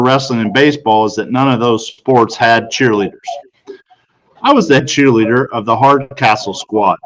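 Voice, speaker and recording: A man's voice: mid-range voice, rough recording. A man reading a first-person storybook narration aloud. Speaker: audiobook reader.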